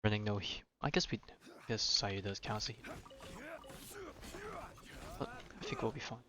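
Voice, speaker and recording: A man's voice: on the low side, moderate pace. A young man doing casual live gameplay commentary, informal and often muttering to himself as he plays. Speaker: game streamer.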